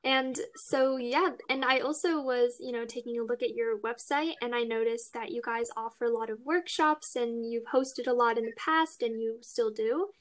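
A woman's voice: a little high, normal speed, few pauses. A woman interviewer asking questions and praising her guest's work. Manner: admiring, encouraging.

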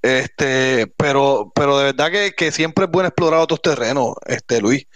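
A man's voice: mid-range voice, normal speed, no echo. A Cuban-American man, a wrestler, talking in a casual interview and often repeating words as he goes. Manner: animated, stumbles and repeats words. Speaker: professional wrestler.

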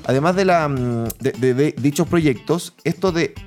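A Chilean man hosting a casual political interview, asking rambling, halting questions as he works toward his point. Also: on the high side, darkish tone, soft background music. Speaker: podcast interviewer.

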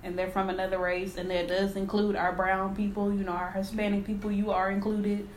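Animated woman in an echoey room. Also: mid-range voice, fairly quick. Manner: animated, steers the talk with questions. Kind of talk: casual discussion. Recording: echoey room with faint noise.

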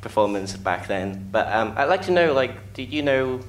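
A man's voice: mid-range voice, lively intonation, moderate pace, slight background noise. Eager young man questioning from the floor. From Hong Kong. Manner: eager, laughing, speeds up. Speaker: fan asking a question. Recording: echoey hall, distant mic.

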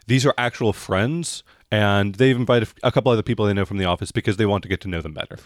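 A man with a lowish voice runs a tabletop game as game master. He describes scenes casually and conversationally. The recording is dry and close, with little room sound. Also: fast, dry recording. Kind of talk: casual tabletop role-playing narration.

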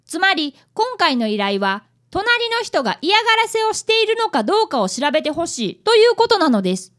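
An earnest young schoolboy apprentice detective in a radio drama. The voice is high and lively, with polite phrasing as he narrates and talks. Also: brisk, full character voice. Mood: narrating, wry.

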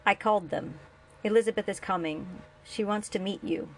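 A woman voicing a guilty middle-aged father, speaking softly and coaxingly to the son he abandoned. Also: medium pitch, normal speed, slight background noise, natural voice, low-quality recording. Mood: reasoning.

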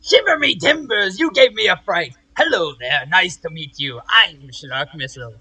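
An actor playing a skulking, overeager college recruiter in a comedic audio drama, with a raspy, hammy, exclamatory delivery. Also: mid-range voice, animated, moderate pace, sharp tone, heavily acted. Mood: overjoyed, creepy.

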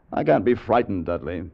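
An actor playing a skeptical gentleman drawn into adventure, with a theatrical manner, in a 1950s radio drama. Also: low voice, vocal fry, heavily acted. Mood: nervous, hesitant. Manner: halting, incredulous, theatrical. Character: skeptical, hesitant gentleman friend turned awed adventurer. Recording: muffled, noisy old radio broadcast.

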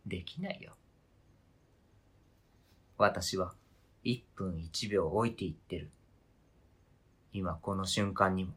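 A man's voice: sits low, slow pace, long pauses, fairly bright tone, slightly rough, heavily acted. A man acting a stubborn, hurt partner in an amateur radio drama, questioning intensely and insistently. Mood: hurt, grave. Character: stubborn, hurt partner fiercely attached to an old toy.

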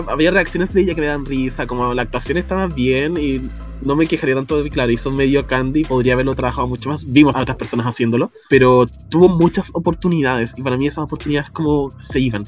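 A man's voice: mid-range voice, a little fast, soft background music. A man with a Chilean accent, co-hosting a podcast in casual chat, chatty and laughing often as he drops slang. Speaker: podcast co-host.

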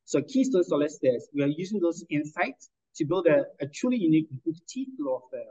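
A man's voice: fairly high pitch, lively intonation, mellow, highs cut off. A man from Ghana, a lawyer and entrepreneur, answering interview questions in an articulate, analytical way. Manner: articulate, analytical, measured with fillers.

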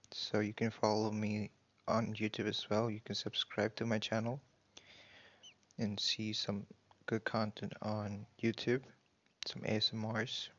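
A man hosting a podcast, talking casually and haltingly to his listeners as he promotes his channels. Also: lowish voice, a bit bright. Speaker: podcast host. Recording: dull, lo-fi sound.

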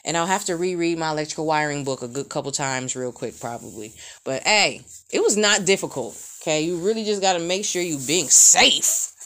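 Animated, profane young woman rambling. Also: deep voice, fairly quick, few pauses. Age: 20s. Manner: profane, animated storytelling with frequent fillers. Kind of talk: casual rambling monologue.

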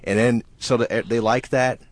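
A man in a comedy sketch explaining things in a mock-earnest, deadpan way. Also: mid-range voice, normal speed, no echo.

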